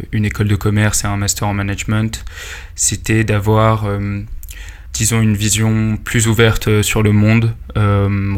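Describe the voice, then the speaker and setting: A man in his mid-twenties from Paris answering interview questions conversationally, with frequent hesitant pauses. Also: fairly low pitch. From Paris, France. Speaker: podcast guest, company player-support employee. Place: company meeting room.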